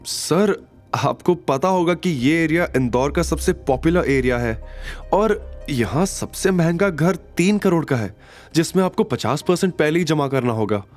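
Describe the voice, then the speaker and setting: A man's voice: fairly high pitch, expressive, a little slow, soft background music, pitched above the performer's own voice. Snooty saleswoman, fake-polite and dismissive, put on by a male narrator, audio drama. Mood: condescending. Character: snooty saleswoman, adult female, condescending.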